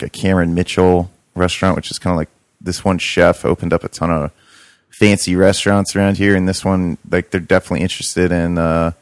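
A man's voice: deep voice, no room sound, clean studio sound. A young man chatting casually about his urban farm, rambling with lots of fillers and restarts. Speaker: podcast co-host, urban farmer. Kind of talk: casual chat.

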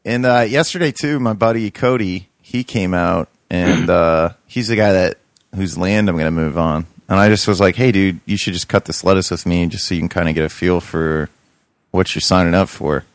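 A man's voice: deep voice, moderate pace, dry recording. A young man chatting casually about his urban farm, rambling with lots of fillers and restarts. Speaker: podcast co-host, urban farmer. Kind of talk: casual chat.

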